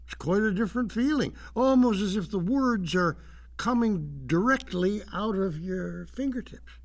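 An elderly gentleman with a gravelly, creaking voice that rises and dips freely. He is a retired speech writer, befuddled but proud and courteous, speaking haltingly in a satirical audio drama. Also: high voice, expressive. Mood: courtly, reminiscing. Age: older. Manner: halting, old-fashioned courtesy.

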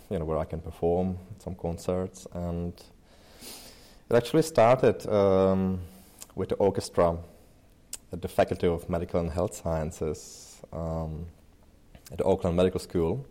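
Man, 30s, deep warm voice, relaxed rambling interview guest. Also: measured pace. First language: Czech. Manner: loose and chatty, many fillers and restarts. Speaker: interview guest, pianist and researcher. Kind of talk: casual interview talk.

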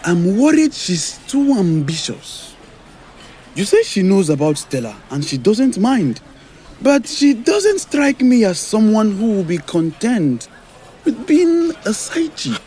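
An actor with a Nigerian accent playing a wary, disapproving friend in an audio drama. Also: male voice, high voice, wildly swooping pitch, slow, dark tone, full character voice, poor audio. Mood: worried.

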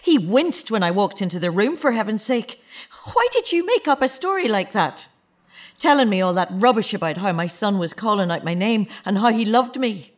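A woman's voice: medium pitch, sing-song, moderate pace. A woman acting in an audio drama as a grieving, sceptical mother, terse and challenging with the man who killed her son. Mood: angry, hurt. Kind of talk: naturalistic radio drama acting.

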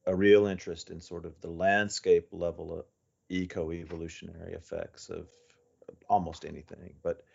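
Male research guest, low voice with heavy vocal fry, informal interview talk, muffled recording. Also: little pitch movement, moderate pace, darkish tone. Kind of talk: conversational podcast interview.

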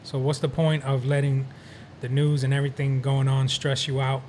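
Relaxed ny guy, ecuador born, blunt podcast chat, medium voice. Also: medium pitch, normal speed, slight background noise. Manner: easygoing, blunt. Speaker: podcast co-host. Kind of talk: casual chat.

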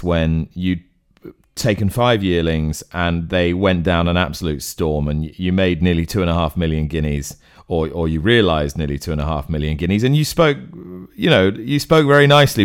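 A man's voice: low-pitched, moderate pace, clear, resonant tone. A man hosting a racing podcast, asking long, rambling questions with restarts. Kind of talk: interview.

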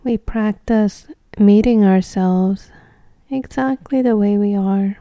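A woman leading a guided meditation in a gentle, soothing, unhurried way, leaving long pauses between short phrases. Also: mid-range voice, no echo.

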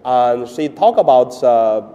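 A priest from mainland China, a man speaking English with a Chinese accent, giving a Sunday homily in halting, broken phrasing with pauses and fillers. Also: medium pitch, expressive, mellow, long reverb tail, dull audio.

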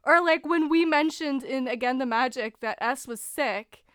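A congested woman co-hosting a casual podcast, chatty and quick to laugh at herself. Her voice sits a bit high and comes across smooth. The sound is close and dry, with no room around it. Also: fairly bright tone, no room sound. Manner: chatty, laughs often, self-deprecating. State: congested from a cold. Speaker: podcast co-host.

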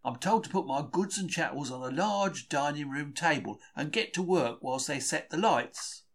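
A man's voice: a little high, normal speed. A man with a British accent reads a diary aloud as scripted first-person narration, telling it in a wry, colloquial way with old-fashioned slang. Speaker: reader of a diary for a podcast.

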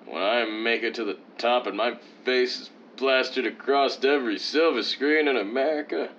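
A man's voice: medium pitch, normal speed, fairly bright tone, lightly acted. An actor playing a vain, condescending leading man of old-time radio, pompous and theatrical. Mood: avuncular. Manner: pompous, theatrical, swooping emphasis. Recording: dull lo-fi sound, faint noise.

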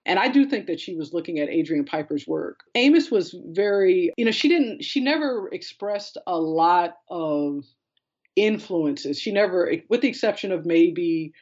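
A woman's voice: fairly low pitch, animated, moderate pace, a little mellow, highs cut off. A woman speaking as a curator in an interview, explaining in a discursive, conversational way. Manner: discursive, explanatory, quotes others in conversational paraphrase. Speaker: art curator being interviewed.

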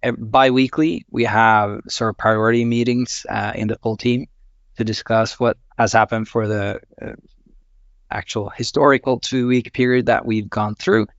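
A man's voice: mid-range voice, fairly even intonation, moderate pace, no room sound. A man from Sweden, a company CEO, explaining his process methodically with many "uh"s in a panel podcast. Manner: methodical, filler-laden explaining. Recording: dull dry lo-fi sound.

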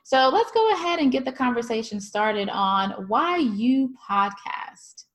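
A woman's voice: a little high, sing-song, measured pace. A woman hosting a podcast, opening the show and interviewing her guest in a friendly way, with many fillers. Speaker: podcast host. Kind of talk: scripted show intro, then interview.

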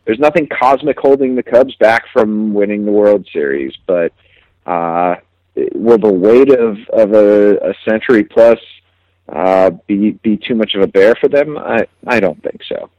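A man giving casual sports analysis as a guest, reflective and halting, repeating words as he thinks. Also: on the low side, dark tone, dry recording, muffled recording.

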